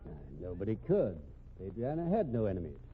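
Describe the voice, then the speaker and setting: An actor in a 1950s radio mystery playing a fussy bereaved husband, formal and hesitant yet insistent. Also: male voice, on the low side, big pitch swings, brisk. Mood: uneasy. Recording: tinny, noisy old radio recording.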